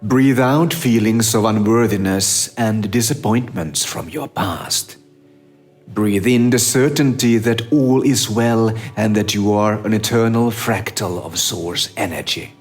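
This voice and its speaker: A man narrating a scripted guided meditation in a measured, instructive manner with long pauses. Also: lowish voice, faint music behind.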